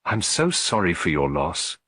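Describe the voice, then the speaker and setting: A man voicing a polite, gentle, inquisitive lady detective in an audiobook, deferential and softly probing. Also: deep voice, very animated, a little slow, husky, no room sound, studio quality, heavily acted. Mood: sympathetic, curious. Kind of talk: audiobook character dialogue.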